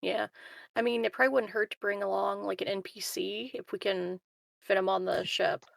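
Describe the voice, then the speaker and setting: A woman's voice: mid-range voice, level tone, normal speed, creaky, no room sound. A woman chatting casually in an understated way as a player in a tabletop game.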